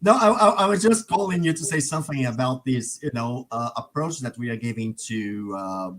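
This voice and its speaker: A man's voice: mid-range voice, expressive, fairly quick, fairly bright tone. A man hosting a casual panel discussion, steering the talk with questions and often tagging his points with "right?". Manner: guiding questions, tag "right?". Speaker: discussion host.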